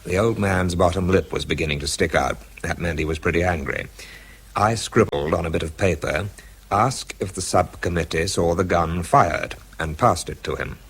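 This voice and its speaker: An actor playing a scientific aide who narrates a radio drama in the first person, dry, wry and understated. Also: male voice, low voice, normal speed, a bit bright, husky, full character voice.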